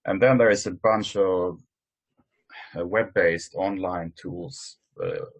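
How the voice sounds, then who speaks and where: A man presenting informally in an online work meeting, speaking hesitantly with frequent pauses. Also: sits low. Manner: hesitant, halting delivery with many pauses. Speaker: meeting host presenting tools. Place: online conference call.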